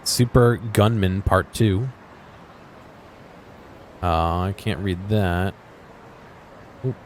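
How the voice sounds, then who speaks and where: Low-voiced man with noise behind. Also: very slow. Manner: low-key, musing to himself. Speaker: gaming video host. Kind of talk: casual off-the-cuff game exploration commentary.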